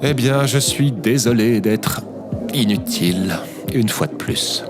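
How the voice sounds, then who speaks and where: A man's voice: medium pitch, slow, music in the background, lightly acted. A man playing a sheepish, evasive former president in a comedic audio drama, hesitant and rambling.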